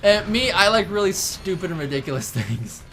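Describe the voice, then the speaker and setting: Bright, lively, goofy gaming commentator. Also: mid-range voice, fairly quick, a little hiss or hum. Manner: giggly, goofy, breaks into silly sounds. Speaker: co-host commentator of a gaming show. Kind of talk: casual joking let's play chat.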